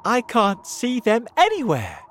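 A man voicing an eager, alert young boy in a children's adventure story, urgent and excitable. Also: sits high, takes it slowly, slight background noise, voice pushed up, heavily acted. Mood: relieved.

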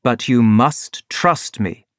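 A reader voicing a composed male detective who explains and gives orders in measured tones. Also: medium pitch, no room sound, clean studio sound, lightly acted. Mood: calm. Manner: calm, measured, authoritative. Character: calm, commanding male detective.

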